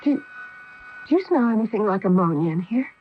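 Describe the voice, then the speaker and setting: A woman's voice: medium pitch, big pitch swings, fast talker, breathy edge. An actress playing an anxious, alert female astronaut in a 1980s radio drama, on a muffled old broadcast tape. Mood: startled.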